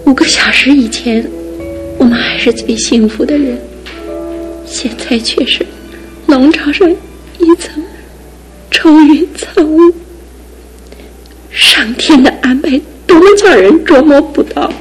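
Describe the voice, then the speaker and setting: An actress playing a devoted young fiancée in a studio radio drama, speaking earnestly and with soft insistence. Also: female voice, sits high, takes it slowly, long pauses, soft background music, rough recording. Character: devoted young fiancée, gentle but resolute.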